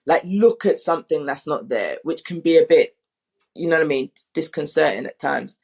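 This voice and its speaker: A woman director in a casual panel talk, thinking aloud in a halting, conversational way. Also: low-pitched, gravelly, darkish tone, slightly rough. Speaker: audio drama director.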